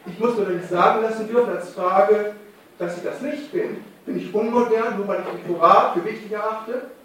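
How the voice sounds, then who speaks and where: A man's voice: high-pitched, normal speed, fairly bright tone, a little hiss or hum. A man in the audience pressing an indignant question at a panel moderator. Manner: indignant, insistent. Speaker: audience member asking a question. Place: large hall at a community event. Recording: echoey hall from far off.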